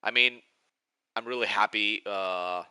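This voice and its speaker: A man's voice: lowish voice, fairly even intonation, measured pace, pushed and bright, clean tone, no room sound. A man in his forties with a Greek accent, rambling and nostalgic as he chats casually on a live stream. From Greece. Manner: rambling, nostalgic, restarts often.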